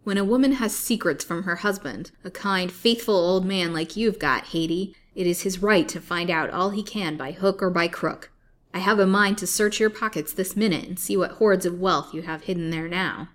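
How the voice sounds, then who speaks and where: A woman's voice: on the low side, a little fast, hardly any pauses, lightly acted. A woman voicing a vicious, greedy old husband from a storybook, snapping with mocking, threatening sarcasm.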